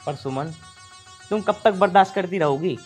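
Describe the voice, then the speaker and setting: A man's voice: high voice, moderate pace, soft background music, natural voice. Man playing an insistent young husband. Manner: insistent, coaxing. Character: young husband caught between wife and mother. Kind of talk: family drama skit.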